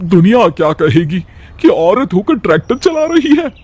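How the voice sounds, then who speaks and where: A man's voice: sits high, very animated, moderate pace, darkish tone, no echo. A man voicing a worried, caring older village mother-in-law, fretful and affectionate.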